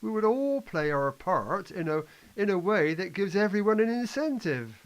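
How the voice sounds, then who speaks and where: Male amateur actor as assured reform advocate, high voice, lecturing a doubter impatiently, stage-play delivery. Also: very animated, normal speed, poor audio. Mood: earnest, explanatory. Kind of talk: amateur scripted play reading.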